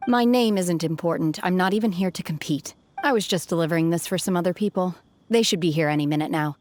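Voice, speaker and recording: A woman playing an aloof, calm young woman in a video game, speaking in a composed, detached and formal way. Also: fairly low pitch, fast talker, a little hiss or hum, natural voice. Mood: reserved. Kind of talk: restrained game voice acting.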